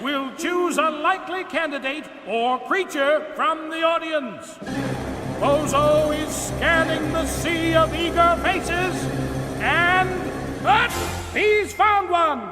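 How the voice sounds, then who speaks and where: A grand circus ringmaster announces in a very high voice that rings through a long hall. He draws words out slowly with showy flourish and sly menace, over loud music, in radio play style. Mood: teasing, suspenseful.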